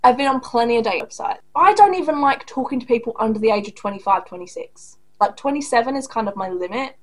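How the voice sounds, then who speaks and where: A woman's voice: on the high side, lively intonation, fast talker, rough recording. Blunt, profane woman in her thirties. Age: 30s. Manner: angry, profane, blunt and sarcastic. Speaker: reaction video host. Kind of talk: casual reaction commentary. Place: small home room indoors.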